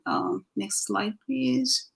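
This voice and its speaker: A middle-aged woman from Egypt whose first language is Arabic, giving a halting artist talk over slides, full of fillers and restarts. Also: high voice, fairly even intonation, gravelly, a bit bright. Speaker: guest artist.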